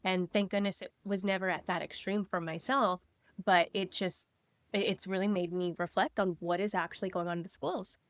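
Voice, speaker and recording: A young woman co-hosting a podcast, slightly raspy from a cold, talking earnestly and pushing back. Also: lowish voice, fairly even intonation, no echo. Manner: earnest, quick to push back. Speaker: co-host. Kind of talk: casual chat.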